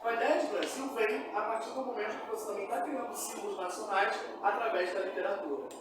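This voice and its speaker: A man's voice: high-pitched, fairly even intonation, brisk. A man with a Brazilian accent giving a classroom lecture as a teacher, didactic and often prompting listeners with questions. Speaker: history teacher. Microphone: distant from speaker. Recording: echoey room, distant mic, noisy.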